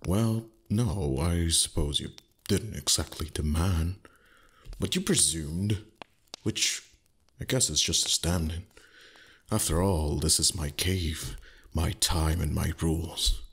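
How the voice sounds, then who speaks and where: Man acting a very deep, husky, haughty dragon. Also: a bit bright, heavily acted. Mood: flustered, grudging. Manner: slow, condescending, flustered tsundere pauses. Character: haughty male dragon, teasing, secretly fond. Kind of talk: intimate roleplay ASMR acting.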